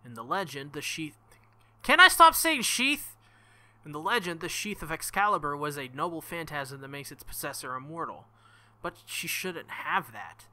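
A man's voice: on the high side, big pitch swings, moderate pace, sharp tone. A man reading a visual novel aloud as a let's-play host, breaking into jokey, exclamatory asides.

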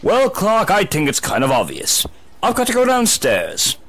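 A man's voice: high-pitched, huge pitch swings, moderate pace, noisy background. A man playing a big, naive, blithely cheerful superhero in a hammy comic-book dub, bombastic in manner. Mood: innocently evasive. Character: dim, blithely cheerful, oversized male superhero in clumsy disguise.

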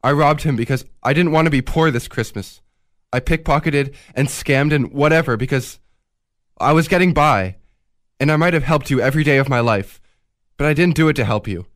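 A man's voice: fairly high pitch, brisk, a bit bright, no echo, lightly acted. Man acting a defiant, guilt-ridden thief, dry sound. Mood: anguished, defensive. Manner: agitated, defiant. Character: guilt-ridden, defensive male thief, stubborn and anguished. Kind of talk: radio drama acting.

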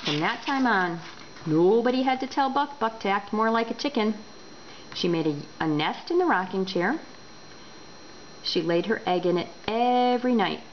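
A woman reading a children's book aloud indoors, expressive and deliberate. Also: mid-range voice. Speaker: storyteller. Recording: dull home recording with background noise.

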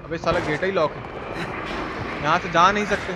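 A man's voice: on the high side, moderate pace. A man doing casual, slangy live game commentary, grumbling at the game and chatting with viewers. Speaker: game streamer. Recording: noisy, game sound underneath. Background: video game sound effects.

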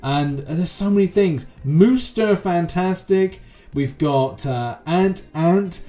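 Higher-pitched young man, casual video host. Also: slow, mellow. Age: young adult. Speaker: YouTube host. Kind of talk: casual scripted explainer with ad-libbed commentary. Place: small room at home with green screen. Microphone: close desk microphone. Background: background music.

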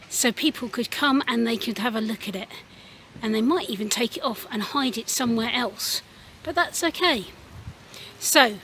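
High-voiced woman. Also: a bit bright, a little hiss or hum. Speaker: children's church presenter. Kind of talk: informal presenting to children. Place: outdoors in a church car park.

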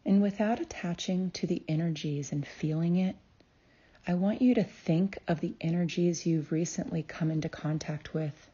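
A woman narrating a guided meditation in a calm, soothing way, with deliberate phrasing and long pauses. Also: fairly low pitch, slightly rough, muffled recording. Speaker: guided meditation host and healer.

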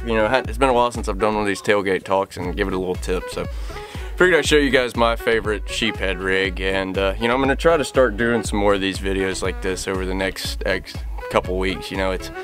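A man, talking outdoors by a pickup truck in a relaxed, rambling way with lots of fillers, giving fishing tips for his channel. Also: lowish voice. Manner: easygoing, rambling with many fillers. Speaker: fishing guide and channel host. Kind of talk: casual tips vlog.

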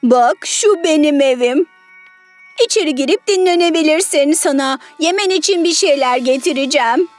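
A woman voicing a sly, hungry fox who feigns friendliness in a coaxing, drawn-out way for a children's story. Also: sits high, soft background music, lightly acted. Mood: falsely friendly.

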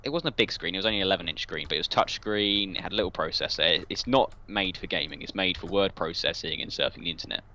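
A man's voice: sits low, fast talker, few pauses, bright, forceful tone, lo-fi sound, slight background noise. A young man with a southern English accent, chatting in a rambling, digressive way as he tells a casual story. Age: young adult. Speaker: guest on a gaming video.

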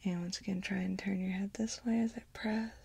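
A woman in her thirties doing a soft-spoken, partly whispered ASMR exam role play, giving slow, gentle instructions close to the microphone. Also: medium pitch, steady tone. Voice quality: breathy, whispery. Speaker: ASMR performer playing an examiner.

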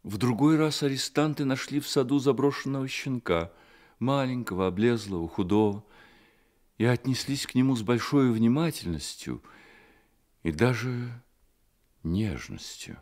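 A man narrating a literary radio drama as an expressive storyteller. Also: medium pitch, moderate pace.

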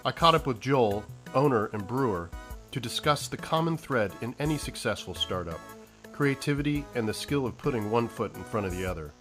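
A man's voice: mid-range voice, lively intonation, brisk, fairly bright tone, faint music behind. A man reading scripted narration as the narrator of a comedy podcast.

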